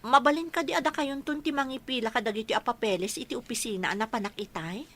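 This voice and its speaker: A woman's voice: fairly high pitch, fast talker, fairly bright tone, a little hiss or hum, natural voice. A woman playing a caring, practical young adult daughter in a radio drama, speaking with an Ilocano accent. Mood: inquiring, concerned.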